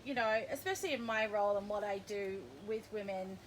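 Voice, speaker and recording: A woman's voice: medium pitch, fairly quick. A woman with a mixed New Zealand and Australian accent, a guest in a casual interview, bubbly and rambling, laughing often. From New Zealand, raised in Australia. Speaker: boudoir photographer, guest. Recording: noisy background.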